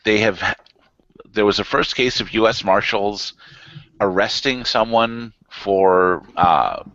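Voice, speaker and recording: A man with a lowish voice chats casually with a group, wry and mock-serious. His online call sounds close and dry, slightly muffled. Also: animated, takes it slowly, fairly bright tone, no room sound. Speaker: podcast panelist. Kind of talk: casual joking group chat.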